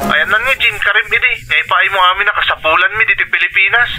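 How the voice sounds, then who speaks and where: Sharp, pushy Ilocano older brother. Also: male voice, high-pitched, fast, soft background music, rough recording. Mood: annoyed, accusing. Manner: wheedling, insistent. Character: pushy, demanding older brother seeking money. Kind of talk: Ilocano radio drama acting.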